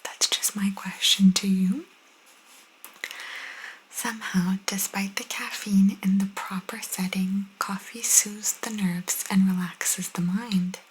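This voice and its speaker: A young woman whispering softly and intimately as an ASMR host, reading and chatting casually close to the microphone. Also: medium pitch, steady tone, slow pace, slight background noise, poor audio. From Italian cultural background. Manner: soft, intimate, chatty asides.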